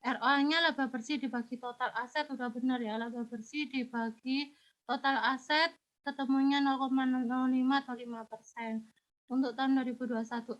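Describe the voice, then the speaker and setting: A woman with a high voice works through exam answers for her students, patient and methodical. A cold leaves her sounding congested and slightly unclear. The recording is dull and lo-fi. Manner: patient, step-by-step explaining, addresses students directly. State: has a cold. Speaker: teacher.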